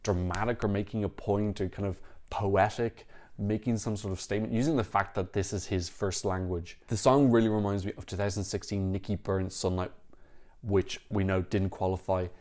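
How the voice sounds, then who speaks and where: Low-voiced man giving blunt opinions. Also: steady tone, a little mellow. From Ireland. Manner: frank, critical, talks in quick bursts with restarts. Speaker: Eurovision reaction vlogger. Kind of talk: opinionated casual commentary to camera.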